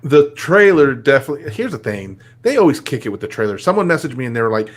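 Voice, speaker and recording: A man's voice: medium pitch, very animated, fast. A man hosting a live web show, chatting casually with frequent restarts. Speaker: host. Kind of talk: casual chat.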